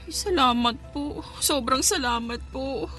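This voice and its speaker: A woman's voice: high-pitched, unhurried, sharp tone. Woman playing a timid, deferential young housemaid, music behind. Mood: hopeful, grateful. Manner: deferential, hesitant. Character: timid, submissive young housemaid niece longing to escape. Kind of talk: Filipino radio drama acting. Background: music bed.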